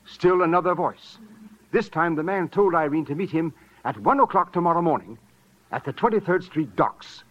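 Muffled old radio drama, wealthy earnest gentleman, slightly high voice, polite, formal, precise. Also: expressive, moderate pace. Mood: anxious, earnest. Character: earnest, formal, self-important rich male fiancé. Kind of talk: 1940s radio drama acting. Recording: dull, faintly noisy old radio recording.

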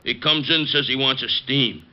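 An actor playing a gruff, puzzled steam bath masseur with blunt working-class phrasing in an old radio drama. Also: male voice, mid-range voice, normal speed, close to an everyday voice. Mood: defensive, matter-of-fact. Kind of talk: 1950s radio drama acting. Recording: muffled old radio broadcast.

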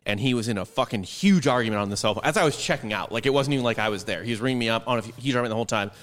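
A man's voice: mid-range voice, very fast, a bit bright. A man co-hosting a sports podcast in casual, rambling conversation with frequent swearing. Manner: rambling, profane.

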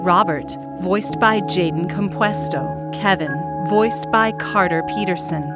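A woman's voice: fairly low pitch, measured pace. A woman announcer reading scripted end credits. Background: loud music.

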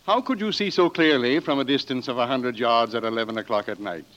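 A man playing a formal, forceful, probing prosecutor with declamatory delivery in a 1940s radio drama. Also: mid-range voice, a little fast, close to an everyday voice. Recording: dull, band-limited old radio recording.

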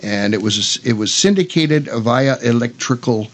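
Casting: older male radio co-host, mid-range voice, casual, halting delivery. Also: mid-range voice, expressive. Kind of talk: casual chat about old radio westerns, some reading aloud.

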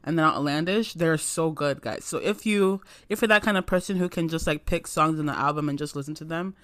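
A man's voice: fairly high pitch, fairly quick, a bit bright, smooth, no echo. A young man co-hosting a podcast from home, chatting casually and enthusiastically with plenty of filler words. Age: young adult. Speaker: podcast co-host. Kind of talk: casual chat.

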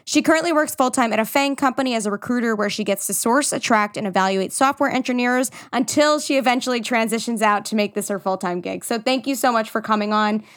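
A woman's voice: fairly high pitch, fairly bright tone. Enthusiastic woman podcast host. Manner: enthusiastic, quick-talking. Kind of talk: casual interview chat.

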